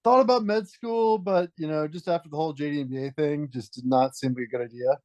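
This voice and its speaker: A man's voice: on the high side, fast talker, darkish tone, no echo, dull audio. A dry, hesitant-sounding male podcast guest. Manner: hesitant, restarts phrases often. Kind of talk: reflective conversational storytelling.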